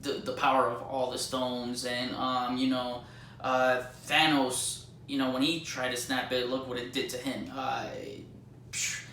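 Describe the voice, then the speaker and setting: A man giving a casual, unscripted movie review, gushing excitably and often stammering over repeated words. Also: medium pitch, measured pace. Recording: roomy echo, faint background noise.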